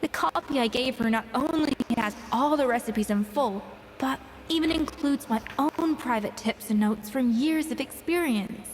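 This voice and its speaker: A woman's voice: high voice, moderate pace, slight background noise, lightly acted, poor audio. A woman playing a confident, proud young master chef in an anime game, with an assured, polished delivery. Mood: troubled, pensive.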